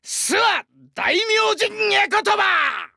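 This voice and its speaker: A male actor voicing a burly, friendly young sumo-wrestler god in an anime game, with rough, hearty speech and a rural Shinshu Japanese dialect. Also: very high-pitched, sing-song, measured pace, bright, forceful tone, no echo, clean studio sound, full character voice. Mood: fierce, battle-ready. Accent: rural central Japanese dialect (Shinshu). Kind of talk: anime game character voice lines.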